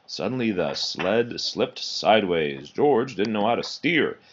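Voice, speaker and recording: A man reading a children's picture book aloud in an expressive, animated storytelling way. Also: fairly low pitch, unhurried, a little hiss or hum. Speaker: storybook reader. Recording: dull, muffled lo-fi recording.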